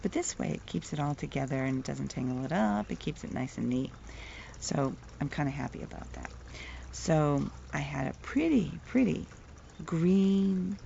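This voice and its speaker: A middle-aged woman with a soft voice, telling a playful, chatty vlog story outdoors and laughing at her own jokes. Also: low-pitched, vocal fry, a little hiss or hum. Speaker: vlogger. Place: outdoors, near the water. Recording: dull lo-fi outdoor recording.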